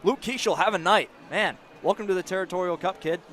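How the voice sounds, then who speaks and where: A male color commentator with a voice a little higher than average analyzes a live baseball game. He talks conversationally, explains his reasoning and offers firm opinions on the play. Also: fairly quick, fairly bright tone. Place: outdoor baseball stadium broadcast position.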